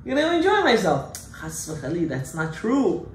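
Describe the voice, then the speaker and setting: A male rabbi teaches a Torah class in a somewhat high voice, pressing his points with rhetorical questions and sudden loud outbursts. His speech is peppered with Hebrew terms. The room is echoey, with a faint hum of noise behind him. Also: wildly swooping pitch, moderate pace. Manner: emphatic, rhetorical questions, acts out objections loudly. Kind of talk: animated Torah lecture.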